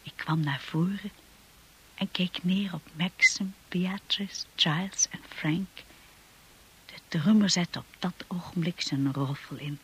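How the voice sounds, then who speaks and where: An actress playing a timid, earnest young wife who tells her own story in a radio drama, with a husky, breathy voice. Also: lowish voice, slow, a bit bright, exaggerated voice, rough recording. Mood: wistful narration. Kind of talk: radio drama acting and first-person narration.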